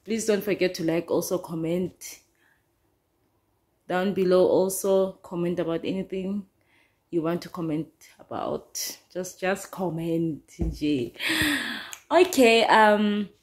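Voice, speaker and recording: A woman's voice: fairly low pitch, slow pace, a little mellow. A young woman chatting loosely to camera as a vlogger, rambling a little as she talks. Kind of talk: casual chat to camera. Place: small room indoors. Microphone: phone camera held close.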